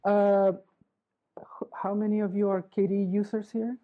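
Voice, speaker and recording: A middle-aged woman from Chile, giving a live conference talk in a halting way, restarting often and checking in with the audience. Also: medium pitch, flat delivery, narrow, tinny audio, slight background noise. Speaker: conference speaker. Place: conference hall.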